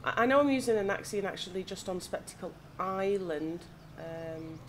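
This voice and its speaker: A woman with a slightly croaky voice from hay fever, chatting casually and thinking aloud as she plays. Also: medium pitch, animated, normal speed. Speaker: gaming channel host. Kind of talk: casual gameplay commentary. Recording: audible background noise.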